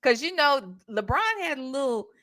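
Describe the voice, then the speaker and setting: Female American reaction host, high lively voice, blunt and sassy, talking casually to a live chat. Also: moderate pace. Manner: emphatic, full of rhetorical questions.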